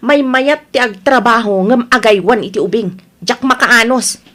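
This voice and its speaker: A woman's voice: on the high side, normal speed, sharp tone. A woman playing a headstrong, restless young wife in an audio drama, with an Ilocano Filipino accent. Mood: impatient, insistent. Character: headstrong restless young wife wanting city life, defiant.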